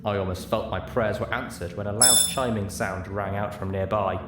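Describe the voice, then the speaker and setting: A man's voice: lowish voice, fairly even intonation, moderate pace, very noisy. A man narrating a story as a dramatic audiobook reader, recorded in a room. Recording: echoey room.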